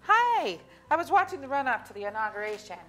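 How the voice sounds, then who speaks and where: A woman's voice: sits high, big pitch swings, quick pace, fairly bright tone, soft background music. A woman playing a sensible, dryly teasing middle-aged suburban wife in a staged play reading. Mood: curious, dry.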